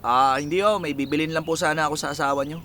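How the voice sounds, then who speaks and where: A man's voice: a little high, fairly even intonation, quick pace, sharp tone. A man playing a polite, puzzled customer in a radio drama, respectful and tentative.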